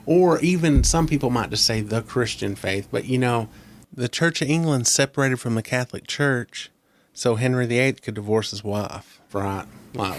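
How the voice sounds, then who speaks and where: Scratchy-voiced man hosting a chat podcast. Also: mid-range voice. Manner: opinionated, rambling, asks leading questions. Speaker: podcast host. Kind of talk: casual chat. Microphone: close desk mic on a boom arm.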